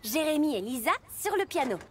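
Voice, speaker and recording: A woman's voice: sits high, very animated, a little fast, a little mellow, slight background noise. An actor, a woman, playing a cheerful, patient teacher in a children's cartoon, encouraging and instructive. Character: cheerful, patient female teacher guiding young trucks.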